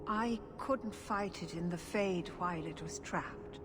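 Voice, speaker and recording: An actress playing an older elven clan leader, solemn and measured, in a video game cutscene. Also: female voice, lowish voice, close to an everyday voice. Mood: grave resolve. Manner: grave, measured. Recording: long hall reverb, faint noise.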